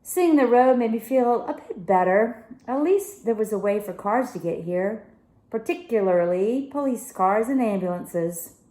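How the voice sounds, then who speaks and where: A woman reading a children's novel aloud with expressive narration. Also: fairly high pitch, a little slow, reverberant. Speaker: story reader.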